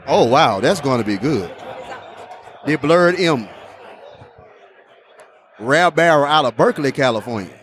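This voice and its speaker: A man's voice: mid-range voice, expressive, unhurried, long pauses, a faint crowd, slight background noise. A man hosting a casual beer tasting vlog, enthusiastic and repeating his phrases. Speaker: host.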